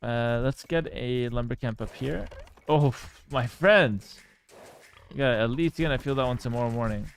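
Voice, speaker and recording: A man talks loosely to his viewers during a live game stream. He jokes and swears casually and answers chat messages as they come in. His pitch is in the middle range for a man. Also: moderate pace, slight background noise. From Canada. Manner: laid-back, joking, swears casually, reads chat aloud.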